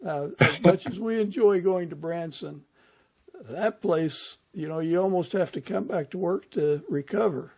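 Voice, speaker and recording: A man's voice: high voice, unhurried, gravelly, a little mellow, slightly rough. An older man hosting a podcast, chatting casually as he interviews a guest. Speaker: podcast host.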